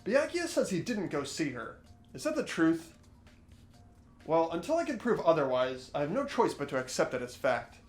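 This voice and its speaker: A high, lively male voice acted by a man as an earnest teenage sleuth in a visual novel game. He thinks aloud, reasoning carefully with some hesitation. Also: moderate pace, reverberant, soft background music. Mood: earnest, questioning. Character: earnest, hesitant teenage boy amateur sleuth, narrating thoughts.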